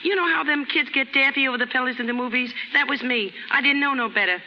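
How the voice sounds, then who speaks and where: A woman's voice: high-pitched, fast, close to an everyday voice. An American actress in a 1930s radio drama playing a brash, uneducated, lovelorn young chorus girl who talks in ungrammatical slang. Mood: lovelorn, candid. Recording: noisy 1930s radio broadcast, dull, reverberant.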